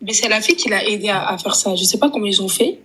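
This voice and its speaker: A woman from France calling in, speaking in a halting, resigned way with short confirmations. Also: mid-range voice, bright, forceful tone, slightly rough. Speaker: caller.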